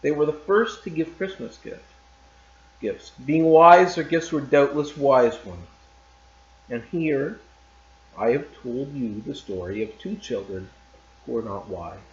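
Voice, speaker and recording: A man teacher reading a short story aloud in a calm, measured way. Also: mid-range voice. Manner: calm and measured, loose and chatty after reading. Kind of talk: read-aloud story narration. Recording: roomy, slightly dull recording.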